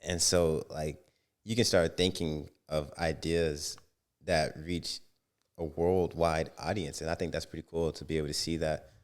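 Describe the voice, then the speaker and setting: A young American man co-hosting a podcast, talking in a lively, casual, slangy way. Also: extremely low pitch. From United States. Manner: animated, conversational, slangy. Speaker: podcast co-host.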